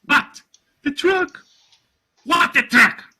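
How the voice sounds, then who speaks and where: A man acts out an opinionated immigrant father from a storybook, a boss with a Yiddish accent. He declaims emphatically and gruffly, his bright, forceful voice swinging widely in pitch. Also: very animated, takes it slowly, exaggerated voice. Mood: alarmed, demanding.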